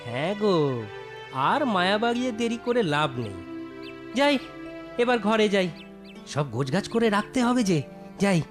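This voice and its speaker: A man's voice: high-pitched, sing-song, normal speed, long pauses, over music. A man playing an ambitious young Bengali village husband in a cartoon, stubborn and insistent. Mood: determined. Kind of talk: cartoon voice acting.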